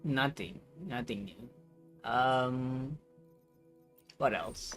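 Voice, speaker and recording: A game streamer giving casual live commentary, thinking aloud in a hesitant, halting way. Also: medium pitch, faint music behind.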